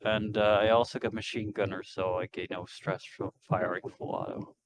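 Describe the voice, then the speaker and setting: A man's voice: deep voice, flat delivery, normal speed, a crowd in the background. A man speaking in short, casual phrases as a player in a tabletop roleplaying game. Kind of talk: casual terse game talk.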